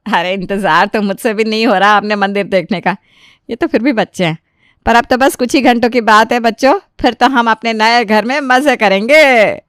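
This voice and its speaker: A woman voicing a bossy, chatty older mother-in-law who runs the household in an animated family story. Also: fairly high pitch, moderate pace, no room sound, clean studio sound, natural voice. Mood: eager, chiding.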